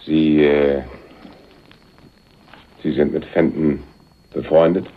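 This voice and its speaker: An actor playing a suave, condescending rich man with quiet menace in a radio crime drama, polite and probing. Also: very deep voice, little pitch movement, takes it slowly, slight background noise, exaggerated voice. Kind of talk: 1980s German radio crime drama acting. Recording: slightly dull radio play recording.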